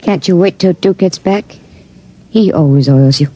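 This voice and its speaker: Woman as a low, mellow, jittery gangster's moll. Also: moderate pace, natural voice. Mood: wary, guarded. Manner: nervous, guarded. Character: jittery, wary gangster's moll, uneasy and evasive. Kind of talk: old-time radio drama acting.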